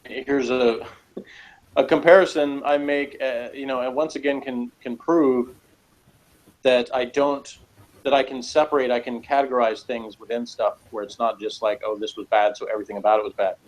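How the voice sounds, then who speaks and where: A man's voice: medium pitch, little pitch movement, normal speed, rough recording. A man chatting casually as a reviewer, giving his opinions on an episode in a rambling way.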